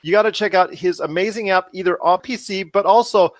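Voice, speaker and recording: A man's voice: high-pitched, fairly quick, no echo, studio quality. A man hosting a podcast interview in a wordy, effusive, promotional conversational style.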